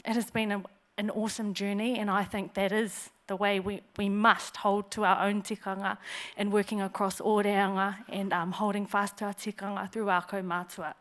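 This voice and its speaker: A woman giving a prepared symposium talk. She opens with formal greetings and speaks in a measured way with frequent fillers. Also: mid-range voice, little pitch movement, fairly bright tone. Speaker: symposium presenter. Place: conference hall.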